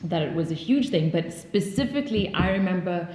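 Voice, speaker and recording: A woman's voice: fairly low pitch, expressive, a little fast, darkish tone. A woman in her twenties hosting a casual podcast chat, rambling with fillers and restarts. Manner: chatty, many fillers and restarts, laughs easily. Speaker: podcast host. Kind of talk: casual conversation. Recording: roomy echo.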